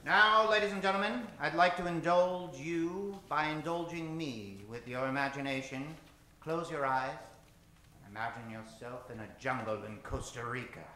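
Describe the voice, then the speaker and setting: Theatrical high-voiced man announcing old-time radio. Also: moderate pace, noisy background. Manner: theatrical, mock-grand showman. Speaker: host, announcer and narrator of a live radio show. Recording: roomy live stage sound with music.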